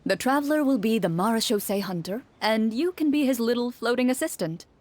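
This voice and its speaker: A woman voicing a composed young female game master in a video game, explaining things in a measured, polite way. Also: on the high side, expressive, a little mellow, dry recording, close to an everyday voice. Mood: composed, instructive.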